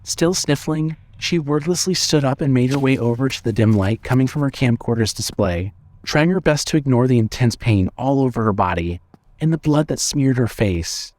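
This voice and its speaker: Man narrating dramatic horror, mid-pitched voice. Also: lively intonation, normal speed, darkish tone.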